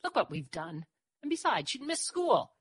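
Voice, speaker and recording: A sharp-tongued, protective woman as a female narrator acts her in a storybook reading. She is brisk and skeptical, pitched up from the narration with lively swings, in a dry recording. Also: breathy edge, no room sound. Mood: worried, pleading. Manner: brisk, scolding, challenging. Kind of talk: audiobook character voice.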